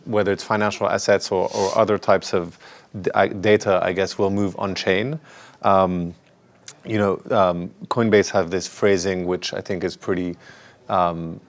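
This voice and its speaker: A man moderating a conference panel, speaking haltingly with many fillers and restarts. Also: on the low side. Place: conference stage.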